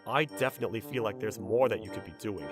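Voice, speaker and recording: A man playing a level-headed, gently teasing young man in a manga dub, narrating and talking in a dry, blunt manner. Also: lowish voice, fast, music in the background, close to an everyday voice. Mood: dry, firm.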